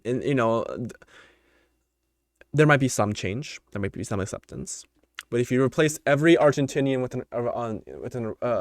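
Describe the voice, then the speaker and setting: A man's voice: medium pitch, dry recording. Rambling opinionated young man, dry close mic. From United States. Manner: rambling, opinionated, many fillers and restarts. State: voice tiring. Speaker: podcast host. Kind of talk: unscripted solo commentary.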